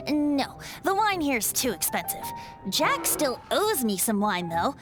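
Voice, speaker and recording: A teasing, carefree young bard who is secretly a wind god. His light, somewhat high voice swings up and down in a breezy, playful way. Game music is clearly heard under the lines. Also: sing-song, slow, full character voice. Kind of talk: video game voice acting. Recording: game score under dialogue.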